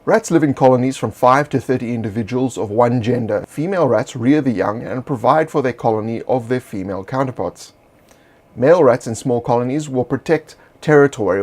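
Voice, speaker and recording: A man's voice: mid-range voice, a little mellow. Man reading game lore steadily. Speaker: game designer presenting a monster supplement. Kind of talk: read-aloud explanatory narration.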